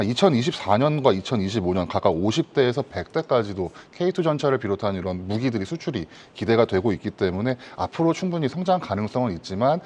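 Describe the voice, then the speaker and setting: A man's voice: fairly low pitch, darkish tone. A man giving stock commentary as a guest analyst on a broadcast, speaking in a formal, polite, hedging manner.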